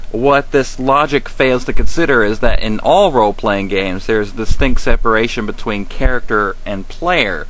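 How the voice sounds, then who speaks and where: A man delivers scripted commentary as a web show host. His voice sits a little low, and he is wry, sarcastic and emphatic. There is slight noise behind him. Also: fairly bright tone, rough recording. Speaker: host of a web series on roleplaying games. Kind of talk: scripted narration, comic commentary.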